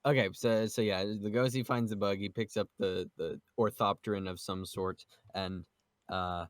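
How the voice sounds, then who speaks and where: A man's voice: on the low side, steady tone, moderate pace, no echo, clean studio sound. A man hosting a podcast, chatting casually about manga with a joking, teasing manner. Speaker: podcast host.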